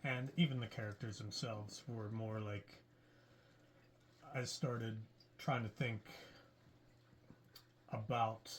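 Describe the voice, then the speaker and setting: A man hosting an interview who asks his questions calmly and quietly. His voice sits fairly low, and a faint hum of noise stays in the background. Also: slow, long pauses. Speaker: host and writer of the show.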